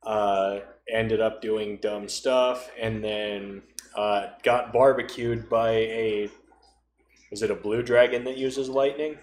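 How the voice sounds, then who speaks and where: A man's voice: on the low side, fairly even intonation, takes it slowly. A man talking casually and conversationally as a podcast co-host. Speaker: podcast co-host discussing tabletop role-playing. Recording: roomy echo, noticeable background noise.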